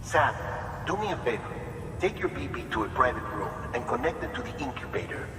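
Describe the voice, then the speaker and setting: An actor playing a reassuring technical expert in a video game, with precise, formal delivery. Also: male voice, medium pitch, animated, a little fast, close to an everyday voice. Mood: instructive. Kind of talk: naturalistic video game cutscene acting. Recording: distant, noisy surroundings.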